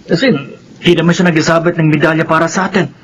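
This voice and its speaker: Guy acting as resentful filipino teen son, high forceful voice, confrontational, radio drama, bit of noise behind. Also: flat delivery, fast, lightly acted. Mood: bitter. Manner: indignant, confrontational. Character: resentful, protective teenage son of a nanny.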